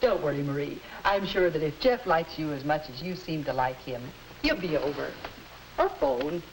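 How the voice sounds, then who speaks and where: A concerned, motherly middle-aged figure, speaking carefully in a lowish voice with lively swings of intonation. It sounds like 1950s instructional film drama, with slight hiss. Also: moderate pace, creaky, full character voice, low-quality recording. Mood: reassuring. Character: concerned, gently probing mother of a teenage girl.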